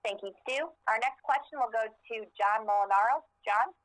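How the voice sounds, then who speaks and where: A woman moderating a press conference call in a brisk, formal style, introducing questioners. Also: fairly high pitch, fairly bright tone, no echo, low-quality recording.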